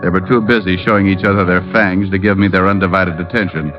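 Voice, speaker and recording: Actor as a wisecracking private eye, old muffled radio. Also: male voice, low-pitched, fairly even intonation, fast talker, music in the background, lightly acted. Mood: wry, brisk. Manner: wry, self-mocking. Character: wisecracking male private detective narrating his own case. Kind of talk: 1940s radio detective acting. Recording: muffled old radio broadcast, musical backing.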